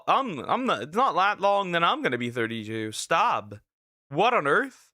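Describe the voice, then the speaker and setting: A man in his twenties giving casual live reaction commentary, excitable and stammering, tossing out quick sarcastic asides. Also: sits high, no room sound, studio quality. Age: 20s. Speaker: streamer reacting to a video.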